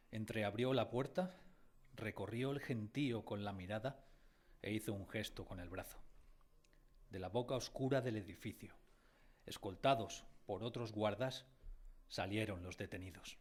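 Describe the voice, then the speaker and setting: An actor playing a grave narrator who recalls a village childhood in solemn, measured, literary radio-drama narration. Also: male voice, fairly low pitch, lightly acted. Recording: faint music behind. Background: faint music.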